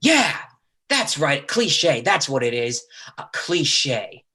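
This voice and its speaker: An actor reading a domineering, rough older brother in a play, with a husky voice and badgering, slangy speech. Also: male voice, on the high side, big pitch swings, slow pace, pushed and bright, full character voice. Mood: impatient, irritated.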